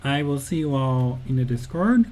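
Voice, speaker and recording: Chatty streamer with light laughs. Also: male voice, mid-range voice, animated, normal speed, over music. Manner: friendly, chatty, many ums and uhs, laughs often. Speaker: game jam stream host. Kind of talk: casual livestream chat answering viewer chat.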